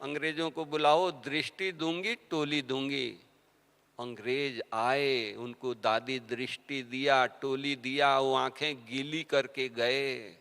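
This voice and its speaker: A man giving a devotional sermon, telling stories and asking rhetorical questions, his voice swinging between soft and loud. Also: medium pitch, steady tone, takes it slowly, vocal fry. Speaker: spiritual teacher. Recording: long hall reverb.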